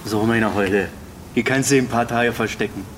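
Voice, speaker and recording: An actor playing a cocky, dim young slaughterhouse worker with a Berlin accent, slangy and boastful, in a radio drama. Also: male voice, mid-range voice, fairly even intonation, normal speed, noisy background, natural voice.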